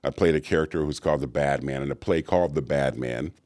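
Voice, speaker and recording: A middle-aged man, an actor and voice actor, telling stories in an interview with a deep, resonant voice and acted-out quotes. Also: fast talker, darkish tone, no echo, clean studio sound. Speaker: actor and voice actor guest. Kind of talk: storytelling interview answers.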